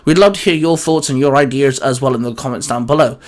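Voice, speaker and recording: A man's voice: medium pitch, fast talker. A man co-hosting a casual, geeky chat show with a teasing, sarcastic way of talking. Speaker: co-host.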